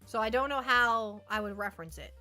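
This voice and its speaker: A woman's voice: on the high side, animated, fairly quick, bright, forceful tone, soft background music. A woman chatting casually to viewers as a livestream host, rambling playfully and often repeating her phrases.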